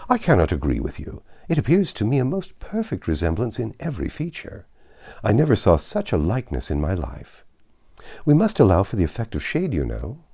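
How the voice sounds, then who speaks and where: Storybook suitor, played by a man: young gallant gentleman, effusive flatterer, wildly animated pitch. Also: brisk, slightly rough, full character voice. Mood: rapturous. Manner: effusive, repeating himself in breathless praise. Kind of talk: storybook character reading.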